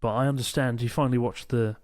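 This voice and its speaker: A man's voice: medium pitch, quick pace, no room sound, studio quality. A man co-hosting a podcast in casual chat, telling stories with plenty of swearing. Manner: swears freely, animated storytelling. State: in pain from a strained arm muscle. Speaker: podcast co-host.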